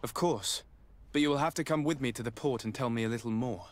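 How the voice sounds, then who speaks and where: A man's voice: medium pitch, fast talker, slight background noise, natural voice. A man playing a composed, courteous noble diplomat in a video game, speaking politely and with discretion. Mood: composed, reassuring. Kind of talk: video game cutscene acting.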